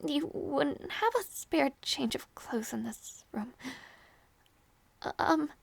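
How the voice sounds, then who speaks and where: A woman playing a gentle, easily flustered young princess in an intimate audio roleplay, speaking hesitantly with small stammers. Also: high-pitched, animated, takes it slowly, gravelly, no room sound, full character voice. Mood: flustered, awkward. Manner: hesitant, stammering, soft-hearted.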